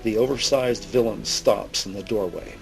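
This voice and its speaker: A man narrating a scripted audio drama in a measured, dramatic manner. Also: lowish voice, creaky, over music, low-quality recording.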